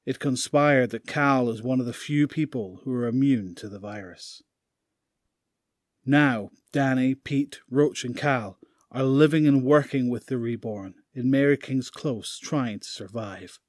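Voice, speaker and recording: A man narrating an audio drama in a measured, grave storytelling manner. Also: mid-range voice, dry recording, studio quality. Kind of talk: scripted narration.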